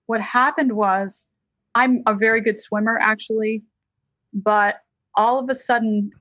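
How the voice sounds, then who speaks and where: An American woman, a licensed counselor, telling a personal story as a podcast guest in a lively, conversational way. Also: a little high, measured pace, no echo, lo-fi sound. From United States.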